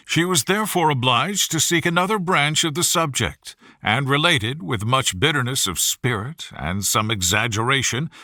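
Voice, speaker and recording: A man's voice: mid-range voice, sharp tone, no echo, studio quality. A man reading a classic novel aloud as a scripted storytelling narrator. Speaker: audiobook narrator.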